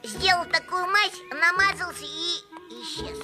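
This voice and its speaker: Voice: extremely high pitch, unhurried. An actor playing a cheeky, boastful little boy in a cartoon, bragging in a childlike way. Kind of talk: animated cartoon acting. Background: music.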